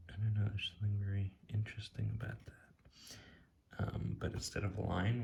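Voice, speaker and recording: A man speaking softly and casually in ASMR style, sometimes near a whisper, musing off the cuff as he reviews something. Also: lowish voice, vocal fry. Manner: hushed, sometimes dropping to a whisper; casual, laid-back musing. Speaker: tarot deck reviewer. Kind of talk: soft-spoken ASMR, impromptu commentary.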